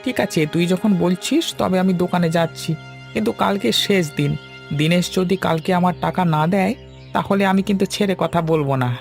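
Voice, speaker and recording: A man plays a middle-aged cartoon shopkeeper, a weary and anxious father. His voice is high for a man and plaintive, fretting along over background music. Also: fairly quick, creaky. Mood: weary, worried. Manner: plaintive, fretful. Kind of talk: cartoon dialogue.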